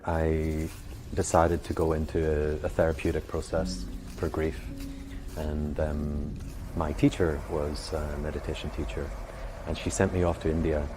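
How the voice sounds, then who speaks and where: A man with a Belfast accent, a meditation teacher, speaking slowly and with long pauses. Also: a bass voice, little pitch movement, background noise. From Northern Ireland. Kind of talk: reflective personal account.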